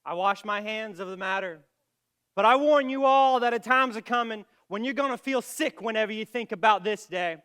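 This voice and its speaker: A blunt country doctor in a period literary drama, fiercely loyal and full of indignation. The voice is high and bright, delivering forceful, scornful declamation. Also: male voice, expressive, measured pace. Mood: bitter. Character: indignant, blunt male small-town doctor, loyal family friend. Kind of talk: dramatic acting in a literary comedy.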